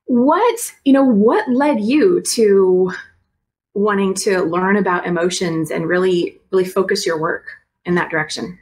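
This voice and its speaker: A woman's voice: mid-range voice, measured pace. A woman who hosts an online talk show, reading a guest's introduction and asking questions in an enthusiastic manner. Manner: warm, enthusiastic, reads a bio, asks questions. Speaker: host. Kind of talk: show host introduction and interview. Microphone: online live stream.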